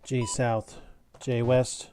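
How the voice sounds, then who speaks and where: Man, game streamer, mellow tone, very even pitch, clipped command calls, casual explanations. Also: very slow, a little hiss or hum. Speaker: live streamer playing an air traffic control game. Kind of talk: live gameplay commentary, calling out commands.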